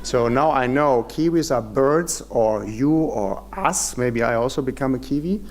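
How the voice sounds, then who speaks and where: A man's voice: medium pitch, animated, measured pace, slight background noise. A man with a German accent presenting at an industry forum in a hotel conference room, speaking formally with frequent hesitant fillers.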